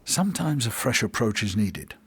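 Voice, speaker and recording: An actor playing a sly, wry royal advisor who narrates a fantasy audio drama in a measured, courtly, sardonic way. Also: male voice, fairly low pitch, very animated, a bit bright, husky, heavily acted. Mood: wry narrating. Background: music.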